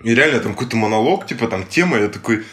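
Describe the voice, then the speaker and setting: A young man co-hosting a podcast, telling a story in a casual, animated way, mimicking other people's lines and swearing often. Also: lowish voice, quick pace. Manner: animated retelling with acted-out quotes and heavy swearing. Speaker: podcast co-host.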